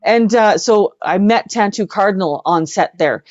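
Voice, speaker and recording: A woman's voice: mid-range voice, moderate pace, darkish tone, dry recording, muffled recording. A woman chatting casually as an interview guest, effusive and repeating words for emphasis. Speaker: interview guest, singer-songwriter and actress.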